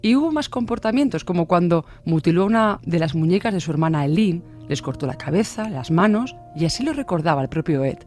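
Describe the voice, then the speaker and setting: A man's voice: high-pitched, lively intonation, fairly quick, few pauses, darkish tone, faint music behind. A man reading scripted true-crime narration.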